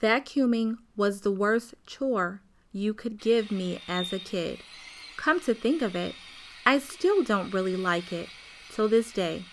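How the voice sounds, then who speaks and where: A woman's voice: medium pitch, expressive, takes it slowly, slight background noise, low-quality recording. An actress playing a wry grown woman who narrates her childhood memories in a radio play.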